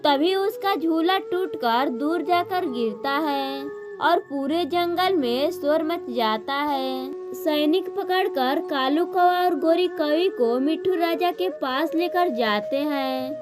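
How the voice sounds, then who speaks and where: A woman's voice: high voice, slow, hardly any pauses. A woman reading a scripted children's story as narrator, with music playing behind her.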